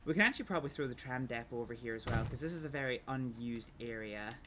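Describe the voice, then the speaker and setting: A Scottish man streaming a city-building game, thinking aloud in a rambling way with many pauses and ums while he chats with viewers. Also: medium pitch, expressive, background noise. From Scotland. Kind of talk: casual gameplay livestream commentary.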